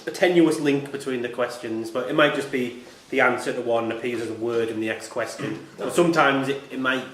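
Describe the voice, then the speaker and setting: A man's voice: medium pitch, fairly even intonation, brisk, a bit bright, slight background noise. A man acting as quizmaster, reading quiz questions aloud in an echoey club room.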